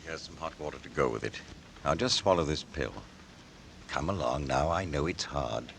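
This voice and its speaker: Brisk doctor, low pitched, animated, coaxing, patronizing, noisy vintage radio recording. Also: male voice, creaky, heavily acted. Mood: coaxing, businesslike. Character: brisk, soothing family doctor, a patronizing man. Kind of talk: 1940s radio suspense acting.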